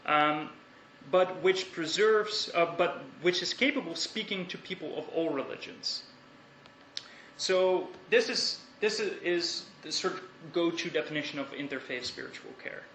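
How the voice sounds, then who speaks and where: A young Dutch man gives a thoughtful academic talk, pausing and restarting as he works through ideas. His voice is bright and fairly high. The sound is roomy, with a faint hiss from a lecture hall. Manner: thoughtful, measured, with hesitations and restarts.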